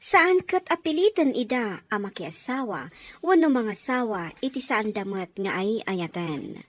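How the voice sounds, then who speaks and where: A woman's voice: mid-range voice, very animated, unhurried. A woman narrating and announcing a radio drama in a scripted style.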